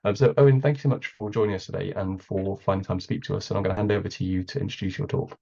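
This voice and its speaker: Man, hoarse from a cold, gravelly and rough, halting with ums; dull video-call audio. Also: deep voice, fairly even intonation, mellow. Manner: hesitant, many ums. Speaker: meeting co-host. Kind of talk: online meeting facilitation. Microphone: computer mic on a video call.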